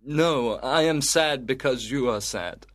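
A man's voice: mid-range voice, a little slow, natural voice. A man voicing a concerned, friendly young man who questions his cousin earnestly.

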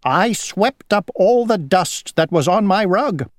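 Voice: medium pitch, expressive, slow, no echo, studio quality, voice pushed up. A reader voicing an obsessively tidy housefly from a children's storybook, in a busy, insistent manner. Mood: fussy, earnest.

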